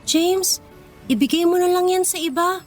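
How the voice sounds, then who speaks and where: Super high voiced filipino schoolgirl, proud n earnest, radio drama, music behind. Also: moderate pace. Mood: apologetic. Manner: earnest, emphatic, emotional. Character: poor, proud, kind schoolgirl standing up to bullies.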